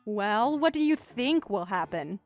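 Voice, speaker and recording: A woman's voice: medium pitch, very animated, measured pace, no echo, soft background music. A woman voicing a vengeful betrayed wife in a story, sarcastic and scolding. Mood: scolding indignation.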